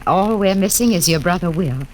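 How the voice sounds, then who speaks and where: A woman's voice: lowish voice, animated, a little fast, a little mellow, slight background noise, close to an everyday voice, low-quality recording. A woman playing a fussing, doting mother. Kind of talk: 1950s radio drama acting.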